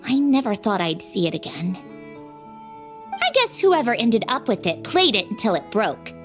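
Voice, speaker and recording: A woman's voice: medium pitch, wildly swooping pitch, normal speed. A woman voicing a wistful, knowing female character in video game dialogue. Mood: wistful, nostalgic. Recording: faint music behind the voice.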